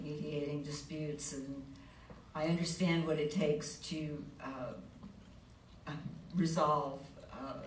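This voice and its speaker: A woman giving an unscripted, halting and measured answer as a candidate at a public forum. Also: deep voice. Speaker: school board candidate. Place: large meeting board room. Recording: roomy distant mic, faint noise.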